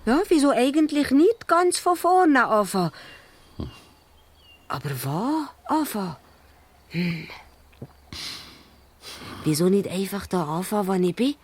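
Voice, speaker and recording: A woman playing a small, lively male dwarf who muses aloud and asks questions, with a Swiss German accent, in a children's radio play. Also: medium pitch, measured pace, long pauses. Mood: musing, hopeful. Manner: musing aloud, asking questions.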